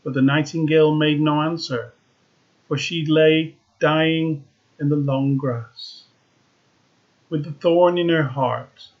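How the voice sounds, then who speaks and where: A man reading a fairy tale aloud, slow and expressive with dramatic emphasis. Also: fairly high pitch, lo-fi sound. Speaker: storyteller.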